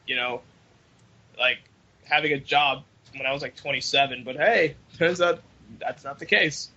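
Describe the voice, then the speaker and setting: A man's voice: medium pitch, normal speed, pushed and bright. A young adult man chatting casually as co-host of a fan podcast over a voice call. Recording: dull lo-fi internet call.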